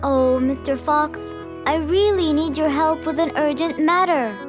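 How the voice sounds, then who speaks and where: A woman's voice: high-pitched, a little slow, music in the background. A woman voicing an earnest, polite, anxious male jungle animal in a children's cartoon. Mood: worried, pleading.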